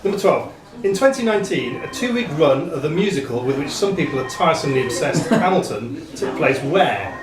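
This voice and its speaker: A man with a fairly high-pitched voice acts as quizmaster, reading questions out to players. He sounds set back from the mic in an echoey pub room, with people audible around him. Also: big pitch swings, fairly quick, far from the mic, noisy background. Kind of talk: reading quiz questions aloud to contestants.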